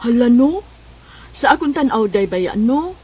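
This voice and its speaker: A woman's voice: a little high, animated, normal speed, mellow, breathy edge. A woman with a Cebuano Filipino accent playing a nosy, teasing confidante in a radio drama. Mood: teasing, urging.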